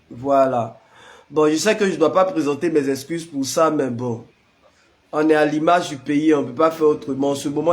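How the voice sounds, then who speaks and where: A man's voice: on the high side, a little mellow. A man with the flu, a prayer leader chatting casually with live-stream viewers and greeting them by name. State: has the flu.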